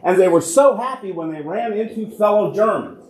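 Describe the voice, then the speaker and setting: A man, a church archivist, talks a congregation through local history in a relaxed, conversational lecture. His voice sits a little high for a man, and he often poses a question before answering it himself. The recording carries the roomy echo of a large church hall. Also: normal speed, slight background noise. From United States.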